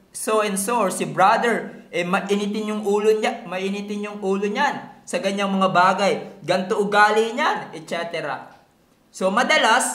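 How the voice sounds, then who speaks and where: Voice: mid-range voice, bright, forceful tone, reverberant. A preacher from the Philippines with a Filipino accent, teaching in a sermon, mixing two languages and adding rhetorical tag questions. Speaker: pastor preaching.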